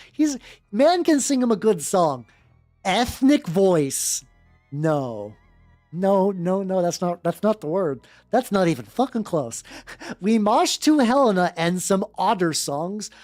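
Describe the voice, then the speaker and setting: A woman's voice: medium pitch, big pitch swings, unhurried, a little mellow. A woman livestreamer reading fanfiction aloud and breaking into informal, sweary, rambling asides. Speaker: livestream host, VTuber. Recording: music playing behind the voice.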